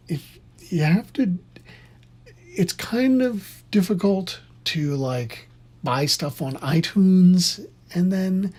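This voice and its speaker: An instructor of unclear sex giving an informal, opinionated tutorial in a husky, conversational voice that rambles along. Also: medium pitch, darkish tone. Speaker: instructor in theater sound.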